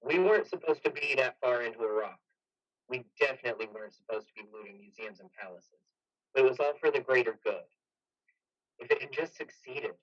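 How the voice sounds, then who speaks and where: A man playing a defensive, patronizing army major in a play reading, measured, evasive and matter-of-fact. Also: mid-range voice, fairly bright tone, highs cut off, lightly acted. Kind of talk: play reading, modern drama.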